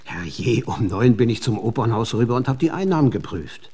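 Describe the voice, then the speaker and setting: An actor playing an evasive, persuasive touring showman of the Wild West in a German radio play, husky and slick. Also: mid-range voice, lively intonation, moderate pace, warm tone. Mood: exasperated, evasive. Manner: exasperated, wheedling, slick dealmaker.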